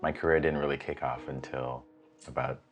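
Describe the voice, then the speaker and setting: A composer from the Bahamas, speaking in a relaxed, reflective way with frequent fillers in a documentary interview. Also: male voice, extremely low pitch, moderate pace, faint music behind.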